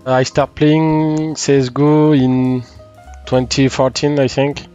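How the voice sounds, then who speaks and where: Male assistant coach, thirties, mid pitch, flat delivery, non-native English. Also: takes it slowly, dull audio, soft background music. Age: 30s. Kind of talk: casual self-introduction and Q&A.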